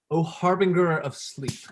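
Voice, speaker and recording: A man's voice: fairly high pitch, fairly bright tone, low-quality recording. A young man playing a triumphant, showy academic who declaims pompously in a staged play reading. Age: young adult. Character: triumphant, showy male academic reciting old verse.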